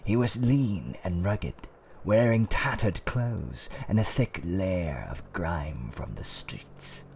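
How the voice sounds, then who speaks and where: Guy doing an older fantasy tavern owner, slow n lowish voice, theatrical dark fireside asmr story, some background noise. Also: animated, gravelly, full character voice. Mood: dark, suspenseful. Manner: theatrical, archaic wording, drawn-out suspenseful delivery.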